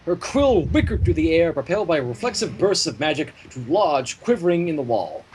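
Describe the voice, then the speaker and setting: A man reads a fan story aloud in an animated, swinging delivery, dropping in casual asides. The recording carries faint noise and small clicks. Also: a little high, a little fast, low-quality recording. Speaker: fan fiction reader and streamer.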